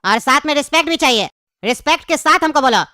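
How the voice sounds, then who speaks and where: A woman's voice: sits high, lively intonation, fast, raised voice, heavy background noise. A woman voicing a cocky, self-assured cartoon hustler with a teasing, boastful manner. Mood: teasing, haggling. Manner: bantering, boastful. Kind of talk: comedy cartoon voice acting.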